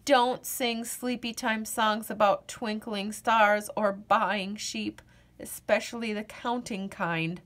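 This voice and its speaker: Slow, playful woman reading a storybook. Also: mid-range voice. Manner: playful and expressive, acting out yawns. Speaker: storyteller reading a picture book aloud. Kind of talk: children's read-aloud.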